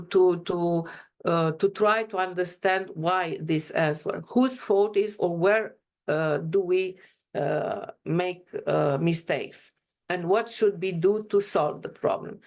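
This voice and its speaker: Slow, halting woman. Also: on the low side, a little mellow. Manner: hesitant, many fillers and restarts. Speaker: webinar presenter. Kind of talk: halting presentation.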